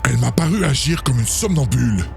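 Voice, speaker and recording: A man's voice: mid-range voice, lively intonation, a little fast, gravelly, a little mellow, faint music behind. A man playing an alarmed, stern train conductor in an amateur audio drama. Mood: concerned, firm.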